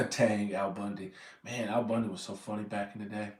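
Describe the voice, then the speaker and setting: A man's voice: fairly low pitch, fairly even intonation, normal speed, roomy sound, recorded from a distance. An American man from Southern California in his thirties, rambling casually to his viewers and correcting himself as he goes. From Southern California, USA. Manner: meandering, corrects himself often. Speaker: vlogger.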